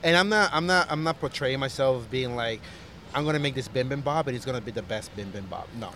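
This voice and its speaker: Man, Costa Rica-raised chef, reflective, earnest, halting restarts, faint background noise. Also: medium pitch, expressive, bright, forceful tone. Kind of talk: casual interview conversation.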